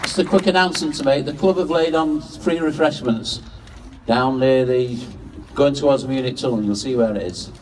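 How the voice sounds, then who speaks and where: A man's voice: medium pitch, moderate pace, a little hiss or hum. A man speaking informally as an announcer at a public memorial gathering. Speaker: lead singer and announcer at a memorial. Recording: distant mic at a public gathering.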